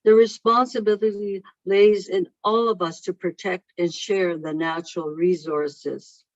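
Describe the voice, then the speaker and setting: A keynote speaker for whom English is a second language, reading a prepared speech in a slow, deliberate way over a video call. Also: medium pitch, steady tone, no room sound. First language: not English. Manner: slow, measured and deliberate delivery. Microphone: video call microphone. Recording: dull lo-fi online call audio.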